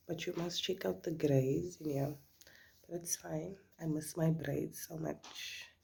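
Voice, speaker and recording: Husky South African woman, gravelly chat. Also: deep voice, steady tone, slow, darkish tone. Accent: South African English. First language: Afrikaans. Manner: chatty, often drops to a whisper. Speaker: beauty vlogger. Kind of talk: casual makeup chit-chat.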